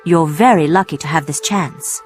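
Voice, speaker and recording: An actress playing a businesslike tech company representative in a radio drama, polite, measured and firm. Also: female voice, low-pitched, soft background music, natural voice. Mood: promoting.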